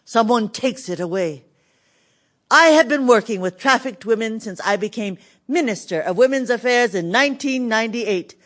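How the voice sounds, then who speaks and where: An actress playing a dignified, resolute Cambodian government minister in a dramatic radio theatre monologue. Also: female voice, on the high side, expressive, normal speed, a bit bright. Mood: solemn, sorrowful. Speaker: actress in an audio play.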